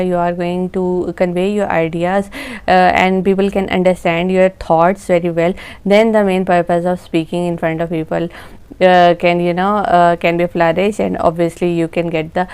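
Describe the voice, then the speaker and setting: A young woman with an Urdu accent, a native Urdu speaker, giving a casual spoken lesson in a halting way, often searching for words. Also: on the low side, a little mellow, clear, resonant tone. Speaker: English-speaking tips presenter.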